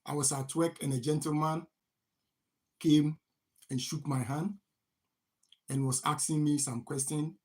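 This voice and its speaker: A man with a somewhat high voice delivers a motivational money talk. He repeats phrases over and over and keeps asking the listener "what?" before giving the answer himself. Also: steady tone, measured pace, long pauses, a little mellow. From Ghana. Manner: repetitive, poses rhetorical "what?" questions and answers them. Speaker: farming channel host. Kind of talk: motivational finance talk to camera.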